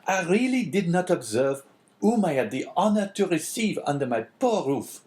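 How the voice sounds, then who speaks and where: A man's voice: sits high, sing-song, normal speed, a little mellow, pitched above the performer's own voice. A man reading an audiobook, voicing a fawning, flustered middle-aged innkeeper who is eager to please. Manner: eager, bowing and apologetic. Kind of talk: audiobook character voice.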